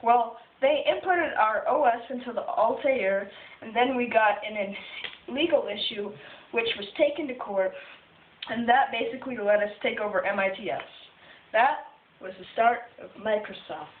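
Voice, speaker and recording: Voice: mid-range voice, normal speed, natural voice. Boastful puppet tycoon voiced by a youthful performer, tinny and distant. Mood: proud. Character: puppet of a famous male tech entrepreneur, boastful, jokey. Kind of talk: scripted first-person puppet-show monologue. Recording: tinny phone video, roomy, distant, hissy.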